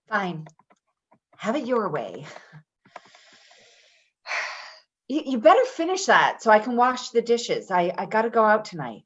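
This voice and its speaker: Casting: actress for brash, talkative female caregiver, highly varied intonation, naturalistic play-reading delivery, prickly and defensive; muffled lo-fi recording. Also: very animated, breathy edge, heavily acted. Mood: irritated, needling. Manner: rambling, halting, with nervous laughter. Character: brash, chatty, defensive woman caregiver named Patti. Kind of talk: naturalistic readers theater acting.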